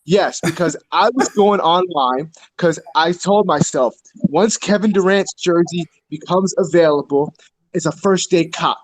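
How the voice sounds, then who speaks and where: A man's voice: high voice, rough recording. Tipsy man ranting with animation. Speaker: podcast co-host. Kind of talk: casual chat.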